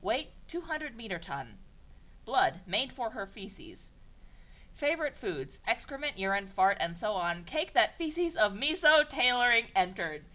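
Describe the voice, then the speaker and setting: A young woman reading broken fan fiction aloud for comedy, in a deadpan voice, stumbling over garbled phrases and breaking off for exasperated sarcastic asides. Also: on the high side, measured pace, a little hiss or hum. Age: young adult. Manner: stumbles and self-corrects over garbled sentences.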